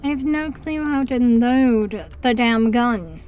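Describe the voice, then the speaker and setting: A woman's voice: fairly high pitch, slow pace, clear, resonant tone, dull audio. A woman streaming a game live, hesitant and self-deprecating as she talks to her chat while losing her voice.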